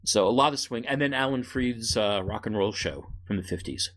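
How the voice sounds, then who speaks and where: A man co-hosting a casual podcast, chatting in a halting, joking way. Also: fairly low pitch, fairly bright tone. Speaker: podcast co-host. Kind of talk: casual conversational podcast chat.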